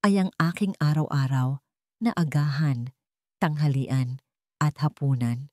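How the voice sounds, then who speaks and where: A woman voicing a calm, resolute older mother who speaks steadily and firmly, in a dramatized storybook reading. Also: deep voice, dry recording, clean studio sound. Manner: steady, firm and measured.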